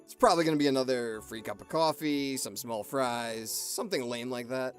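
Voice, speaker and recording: A man's voice: mid-range voice, fairly quick, soft background music, natural voice. A man playing a grumpy, sarcastic loner of a monster hunter in an audio drama.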